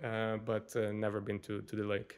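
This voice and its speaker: A man with a Portuguese accent talks in a loose, informal way, as a guest on a podcast would. His English is conversational and animated when he gets onto things he enjoys. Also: on the low side, normal speed, smooth. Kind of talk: casual chat.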